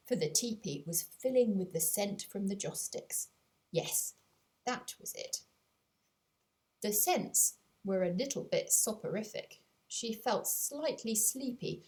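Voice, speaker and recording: A woman reading a children's story aloud in an expressive way, with deliberate dramatic pauses. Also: mid-range voice. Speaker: author reading their own audiobook. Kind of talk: scripted children's story narration.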